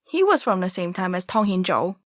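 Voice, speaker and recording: Woman playing lively-pitched, questioning young student. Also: a little fast. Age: young adult. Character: questioning, opinionated young Hong Kong student. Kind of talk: stage drama dialogue.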